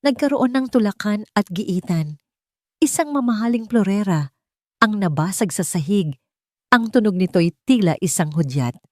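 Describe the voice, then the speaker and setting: A woman's voice: medium pitch, lively intonation, normal speed, no room sound. A woman reading a dramatic story as scripted narration.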